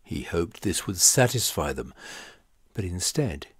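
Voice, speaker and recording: Male audiobook narrator for scripture: low register, deliberate and dramatic delivery, dry close sound. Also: very animated, a bit bright, husky, no room sound. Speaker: audiobook reader.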